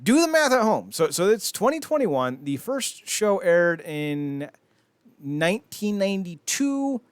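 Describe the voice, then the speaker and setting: A man's voice: high-pitched, big pitch swings, measured pace, no room sound. A man hosting a podcast with casual, comedic chat, riffing and swearing freely. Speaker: podcast host.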